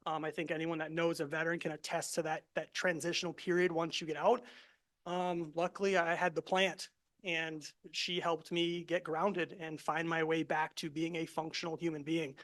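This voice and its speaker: A middle-aged man presenting to a live audience in a relaxed, soft-spoken way, speaking into a handheld microphone. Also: fairly high pitch, moderate pace. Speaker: cannabis grower and presenter. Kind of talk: casual talk to a live audience. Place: event venue with live audience.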